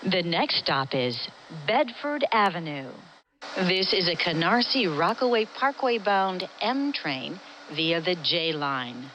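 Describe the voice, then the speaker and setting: Slow, bright-voiced female train announcer. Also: fairly low pitch, very animated, a little hiss or hum, poor audio. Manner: measured, formulaic delivery in separate phrases. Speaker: transit announcer. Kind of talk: scripted recorded train announcement.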